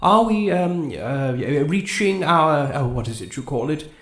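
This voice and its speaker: A man's voice: fairly high pitch, very animated, measured pace, fairly bright tone, reverberant, full character voice. An actor playing a vague, bumbling middle-aged king in a comedy audio drama, hesitant, stammering and rambling. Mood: dithering, apologetic.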